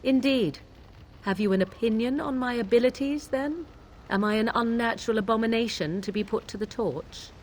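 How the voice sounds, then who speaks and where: Actress as a haughty, high-voiced fantasy witch. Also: a little mellow, slight background noise, natural voice. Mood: sardonic challenge. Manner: archaic, formal, measured phrasing. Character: haughty, sardonic witch woman, aloof and wary. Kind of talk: fantasy video game voice acting.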